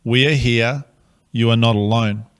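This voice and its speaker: A man with an Australian accent, a pastor giving a slow, earnest, measured address to his city. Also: mid-range voice, steady tone, smooth.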